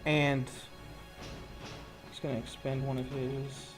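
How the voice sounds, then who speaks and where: A man's voice: medium pitch, level tone, slow pace, music in the background. A man acting as game master, narrating a tabletop roleplaying fight in vivid, gory detail and breaking off for rules talk.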